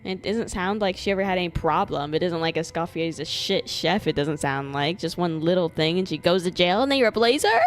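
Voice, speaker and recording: A woman's voice: on the low side, expressive, fairly quick, hardly any pauses, soft background music. A young woman doing casual, joking live commentary as a game streamer, reacting with sarcasm.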